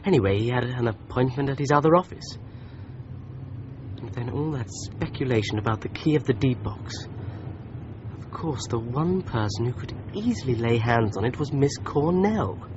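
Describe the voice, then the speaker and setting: An actor playing an earnest British amateur sleuth in a radio drama, reasoning things out aloud. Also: male voice, mid-range voice, expressive, moderate pace, dull audio, noisy background, lightly acted. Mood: pondering.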